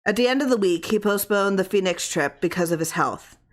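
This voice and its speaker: A woman's voice: medium pitch, fairly quick. A woman reading a horror short story aloud as scripted audiobook narration. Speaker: audiobook reader.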